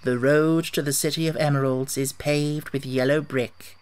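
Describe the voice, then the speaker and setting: A man's voice: a little high, steady tone, moderate pace, smooth, natural voice. Man voicing a kindly, solemn little old witch. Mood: solemn, reassuring. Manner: solemn, reassuring. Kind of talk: audiobook character voice.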